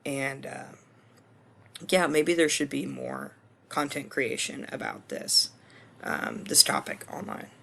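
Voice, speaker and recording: A hoarse man in his twenties with a deeply gravelly, fry-laden voice at an ordinary pitch for a man. He talks through his course screencast in a loose, hesitant way, stopping for ums and restarting his sentences. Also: wildly swooping pitch, slow, a bit bright. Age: 20s. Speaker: student.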